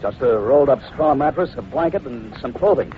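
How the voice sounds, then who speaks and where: Man playing fast-talking, shrewd Mountie sergeant. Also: medium pitch, animated, close to an everyday voice. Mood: firm, probing. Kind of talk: old-time radio drama acting. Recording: dull old radio recording, faint noise.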